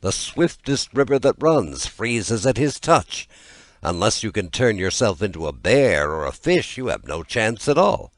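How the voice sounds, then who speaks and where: A man's voice: fairly low pitch, very animated, measured pace, no echo, pitched above the performer's own voice. A man voicing worried fishermen in a storybook, giving urgent, earnest warnings. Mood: anxious warning. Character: worried fishermen urging a comrade to flee. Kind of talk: storybook character reading.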